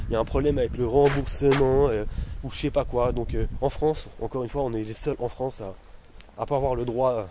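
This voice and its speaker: A man's voice: medium pitch, mellow. A man in his thirties in pain, rambling in slangy casual talk to his followers while filming himself on a phone outdoors. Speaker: vlogger. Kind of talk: casual vlog. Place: outdoors among trees and bushes. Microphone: phone held at arm's length. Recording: noisy outdoor phone recording. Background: outdoor ambience.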